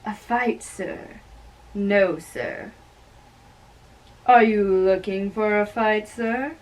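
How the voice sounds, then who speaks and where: Slow, theatrical woman reading a play aloud. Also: medium pitch, steady tone. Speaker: amateur reader performing all parts. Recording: distant microphone.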